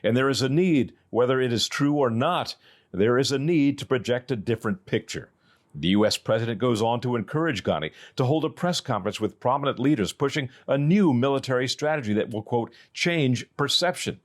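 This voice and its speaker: A man's voice: medium pitch, animated, fairly quick. A man with an American accent reading a scripted news report as a reporter.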